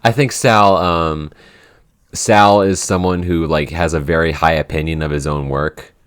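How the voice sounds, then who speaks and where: A man co-hosting a casual podcast Q&A, thinking aloud in a halting way as he explains his writing. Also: rumbling low, fairly even intonation, a bit bright, clean tone. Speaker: podcast writer and co-host answering listener questions. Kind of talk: casual Q&A chat.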